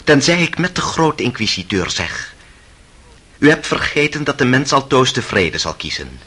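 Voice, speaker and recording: An actor playing a brooding, sardonic intellectual, a tormented sceptic, with husky intensity in a radio drama. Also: male voice, mid-range voice, very animated, normal speed, bright, forceful tone, heavily acted. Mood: troubled.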